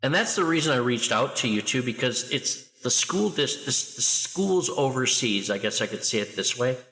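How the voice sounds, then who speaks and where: A middle-aged man talking loudly and with lively energy as a guest in an interview. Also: mid-range voice, moderate pace, long reverb tail, low-quality recording. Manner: loud, animated storyteller. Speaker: guest, international school educator. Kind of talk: conversational interview.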